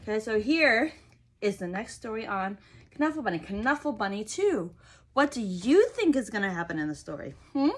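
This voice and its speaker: A woman reading a picture book aloud to young children, expressive and often pausing to ask them questions. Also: mid-range voice. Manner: expressive, prompting listeners with questions.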